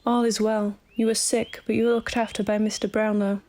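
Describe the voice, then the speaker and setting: A woman's voice: mid-range voice, level tone, a little fast, a little mellow, natural voice. A woman playing a reassuring, no-nonsense household nurse in an audio drama. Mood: soothing.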